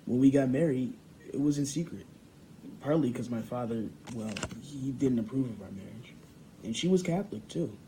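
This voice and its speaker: A man's voice: medium pitch, expressive, normal speed, mellow, close to an everyday voice. A man playing a proud French philosopher in an amateur sketch, telling his life in an earnest, matter-of-fact way. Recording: noisy home recording.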